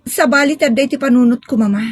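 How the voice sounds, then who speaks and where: A woman's voice: high-pitched, fast, slightly rough, no echo, clean studio sound. A woman playing an insistent, suspicious young bride-to-be daughter in an audio drama. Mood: upset, wary. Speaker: drama actor. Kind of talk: Ilocano audio drama acting.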